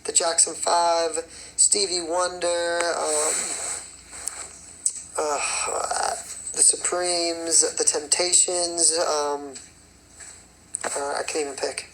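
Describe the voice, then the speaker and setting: A raspy young man, a singer and choreographer, chatting casually on a phone livestream and rambling with many fillers. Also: on the high side, level tone, extremely slow, long pauses, fairly bright tone, a little hiss or hum, rough recording. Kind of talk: casual livestream chat answering comments.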